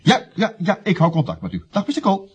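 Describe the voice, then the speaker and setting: An actor playing a scheming, optimistic young estate agent in a Dutch radio comedy, stammering through glib, evasive patter. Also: male voice, sits high, very animated, quick pace, muffled recording, slight background noise. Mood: nervous, placating.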